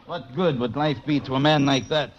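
An actor playing a cunning seaman, self-justifying and measured, in a radio drama. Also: medium pitch, natural voice. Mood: coldly reasoning. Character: cunning, smug German U-boat seaman. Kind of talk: classic radio drama acting. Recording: dull, noisy vintage radio recording.

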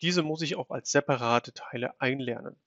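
A man in his thirties narrating an instructional software walkthrough, explaining step by step as a tutorial presenter. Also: medium pitch, moderate pace, dry recording, dull audio.

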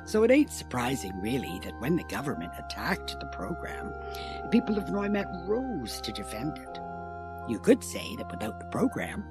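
Deep-voiced, folksy small-town mayor woman. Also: huge pitch swings, normal speed, over music, exaggerated voice. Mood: wry, proud. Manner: folksy, wry, chuckling storyteller. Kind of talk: audio drama interview acting.

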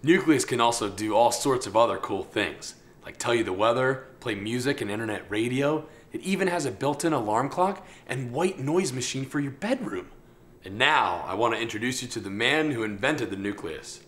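A man's voice: mid-range voice, expressive, moderate pace, a bit bright. A man in his thirties doing an upbeat, scripted comedic product presentation in a salesman's delivery. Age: 30s.

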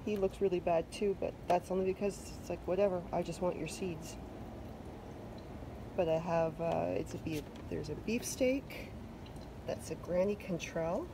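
A Canadian woman with a somewhat low voice chatters easily about her garden haul, filling her talk with little asides and self-mocking remarks. She records outside on a covered deck, and a steady background hiss sits under her voice. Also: moderate pace, creaky. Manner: chatty, self-deprecating, loose fillers. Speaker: vlogger. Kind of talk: casual garden vlog chat. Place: covered wooden deck outdoors.

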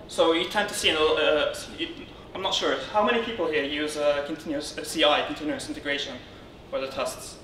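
A man from Syria giving an informal technical conference talk as a speaker, often halting and rephrasing as he goes. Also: a little high, bright, forceful tone. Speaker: conference speaker, software consultant. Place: indoor darkened conference room, on stage beside a lectern. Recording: roomy, distant, noisy room sound.

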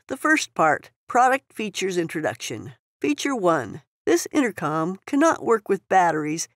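A woman's voice: medium pitch, dry recording. Woman narrating instructions, dry close sound. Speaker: product tutorial narrator.

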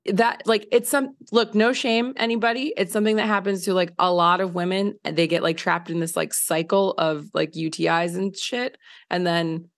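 A woman in her thirties, hoarse from a recent illness, telling a story on a podcast in a casual, filler-heavy way, laughing often. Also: on the low side, steady tone, moderate pace. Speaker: podcast co-host. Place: home living room by windows. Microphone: large studio mic on video call.

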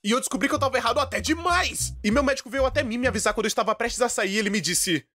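A young man with a Brazilian accent narrating a scripted comic story in an exaggerated, expressive way. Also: extremely high pitch, very fast, dry recording, clean studio sound, soft background music. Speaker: dubber narrating an animated story.

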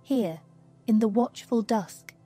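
A woman reading a scripted guided sleep meditation in a slow, soothing, hushed manner. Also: on the high side. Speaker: meditation narrator. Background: music.

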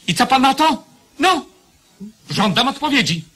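Forceful, haughty king. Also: very high voice, sing-song, a little slow, breathy edge, exaggerated voice. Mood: angry, demanding. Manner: mocking, imperious. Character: haughty, impatient, scornful enemy king. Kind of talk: radio play acting.

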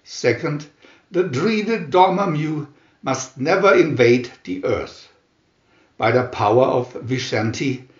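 A man's voice: mid-range voice, muffled recording. Male narrator for comic-book tale: theatrical, swooping delivery, echoey room. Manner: theatrical, measured. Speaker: narrator reading a picture booklet. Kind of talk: dramatic scripted storybook narration.

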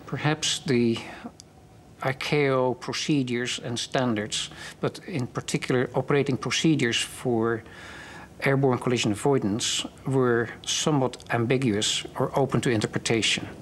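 An older man giving formal, measured interview answers as an official, choosing his phrases carefully. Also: mid-range voice, fairly even intonation, a little hiss or hum. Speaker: aviation official being interviewed. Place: large indoor council chamber.

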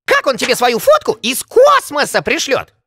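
A young male cartoon rabbit with a very high, highly animated voice. He sounds carefree and cheeky. His talk is breezy, colloquial and full of curiosity. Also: moderate pace, breathy edge, dry recording, heavily acted. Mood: curious.

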